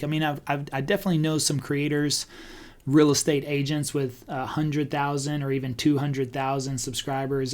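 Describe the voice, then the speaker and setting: A man talking casually as a podcast host, conversational and thinking out loud as he goes. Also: fairly high pitch. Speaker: podcast host and real estate agent. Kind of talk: casual talk about online video marketing.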